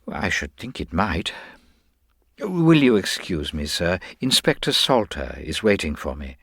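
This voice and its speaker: A male narrator plays a quietly shrewd middle-aged investigator in an audiobook. His voice is low and swoops expressively, yet he stays gentle, hesitant and exact, in a dry, close sound. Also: sing-song, a little slow, husky, no room sound, heavily acted. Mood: mild, evasive. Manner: gentle, hesitant, precise, understated. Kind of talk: dramatised audiobook character dialogue.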